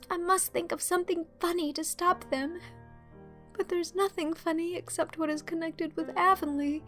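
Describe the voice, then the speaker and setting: A woman's voice: very high-pitched, pitched above the performer's own voice, full character voice. A woman voicing a dreamy, dramatic teenage girl student, homesick and tearful, in an audiobook story. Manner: emotional, expressive, wordy. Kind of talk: audiobook character acting.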